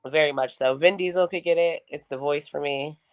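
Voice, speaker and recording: Low-voiced frank woman chatting. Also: brisk. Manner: frank, profane, laughs often. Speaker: podcast co-host. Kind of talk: casual podcast chat.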